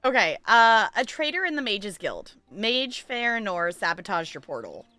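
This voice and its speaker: A woman chatting casually and playfully while livestreaming a game, thinking out loud as she goes. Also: mid-range voice, very animated, moderate pace, no room sound. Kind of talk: casual stream chat while playing, reading game dialogue aloud.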